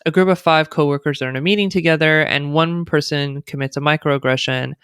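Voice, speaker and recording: American woman guest, measured and explanatory, clean dry recording. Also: low voice, a bit bright, clear, resonant tone, no room sound. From United States. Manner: thoughtful, speaks in long explanatory runs with fillers. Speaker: guest, diversity consultant. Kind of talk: conversational interview.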